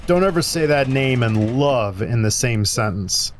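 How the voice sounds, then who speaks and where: Brief: dreamy young worker, male actor, mid voice, animated. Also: medium pitch, unhurried, slight background noise, lightly acted. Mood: earnest. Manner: earnest, dreamy. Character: lovestruck young construction worker Todd. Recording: music under dialogue.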